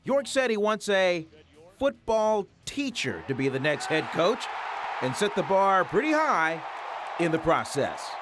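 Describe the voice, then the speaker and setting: A man's voice: high-pitched, very animated, unhurried, background noise. A man anchoring a television sportscast with a punchy, playful delivery. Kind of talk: lively sportscast, scripted narration. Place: TV news studio.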